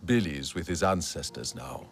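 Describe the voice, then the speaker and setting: Deep, solemn alien warrior. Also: male voice, animated, gravelly, breathy edge, soft background music, heavily acted. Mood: solemn concern. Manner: formal, solemn, deliberate. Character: grave, vengeful male Na'vi alien warrior. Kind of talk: video game character acting.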